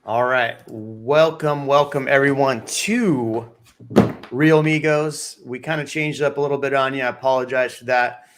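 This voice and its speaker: A man hosts a relaxed real estate livestream from home over a computer mic. His voice sits at an ordinary pitch. He jokes and teases his co-hosts as he moves the talk along. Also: a little slow, background noise. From Texas. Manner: joking, teasing. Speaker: real estate show host. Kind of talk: casual livestream chat. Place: home studio on a live stream.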